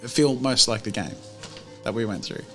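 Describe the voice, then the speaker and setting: A man's voice: medium pitch, animated, normal speed, loud music behind the voice. A man hosting a casual chat, asking questions and wrapping up a session. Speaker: show host.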